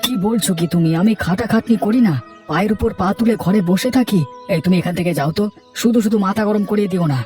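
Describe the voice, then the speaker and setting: A man's voice: high voice, fast, over music, close to an everyday voice. A man voicing a discontented, hard-working village farmer and husband in a cartoon, earnest and given to complaining. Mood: irritated. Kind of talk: cartoon voice acting.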